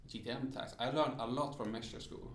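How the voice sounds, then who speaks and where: A man's voice: mid-range voice, fairly even intonation, fairly quick, echoey room. A man on a panel discussion, speaking in an upbeat way and giving recommendations eagerly. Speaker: panelist, digital strategist.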